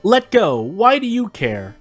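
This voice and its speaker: A man's voice: sits high, huge pitch swings, slow, pitched above the performer's own voice, full character voice. Storybook role: wounded young witch played by a man, bitter and accusatory, ranting with passion, faint music underneath. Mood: tearful anger.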